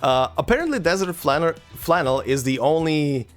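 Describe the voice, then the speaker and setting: A Croatian man whose English mixes American and British with a slight German tinge, hosting a let's play and chatting casually with viewers, halting with many fillers and repeated words. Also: on the high side, animated, soft background music. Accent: mix of American and British English, slight German tinge.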